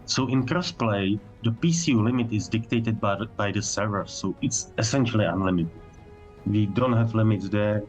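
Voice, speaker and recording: A man with a somewhat low voice talks casually as a developer fielding livestream questions. His English is halting and phrased like a second language, and faint music plays underneath. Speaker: game developer guest answering technical questions.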